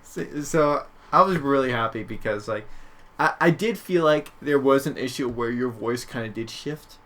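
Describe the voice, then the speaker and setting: Bright-voiced man in casual conversation. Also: mid-range voice, normal speed. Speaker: podcast co-host. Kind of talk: casual chat.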